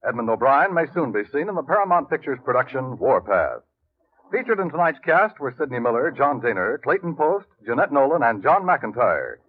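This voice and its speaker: A man's voice: medium pitch, sing-song, fairly quick, slightly rough. A man announcing for network radio in polished, promotional 1950s style. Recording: tinny old radio broadcast with music.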